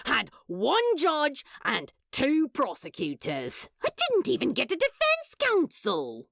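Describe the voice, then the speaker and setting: A woman's voice: sits high, huge pitch swings, takes it slowly, vocal fry, fairly bright tone, no echo, studio quality, pitched above the performer's own voice, exaggerated voice. A woman voicing a cocky, dramatic demon in a fan fiction audiobook, drawling and grumbling with theatrical grievance. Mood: aggrieved, sullen. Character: cocky dramatic demon, sullen yet showy, theatrically aggrieved.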